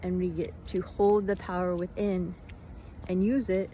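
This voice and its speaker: A woman giving an unscripted motivational monologue in a reflective way, in halting phrases with deliberate pauses. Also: on the low side, level tone, mellow. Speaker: vlogger giving self-help reflections. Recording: noisy, vehicle-like ambient sound.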